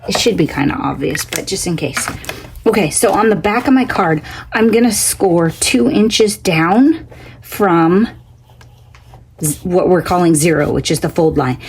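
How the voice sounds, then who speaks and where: A woman with a lowish, slightly scratchy voice walks viewers through a card project at her craft table. She is chatty and homey, thinking out loud as she works, and faint background noise sits under her talk. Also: lively intonation, a little slow, creaky. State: scratchy from a cough. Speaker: craft channel host. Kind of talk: casual crafting tutorial.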